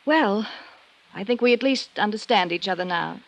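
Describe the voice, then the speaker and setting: An actress playing a composed, evasive wife under suspicion, in an old-time radio drama. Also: female voice, mid-range voice, animated, normal speed, creaky, lo-fi sound. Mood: politely evasive. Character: cool, evasive married woman suspected of insurance fraud.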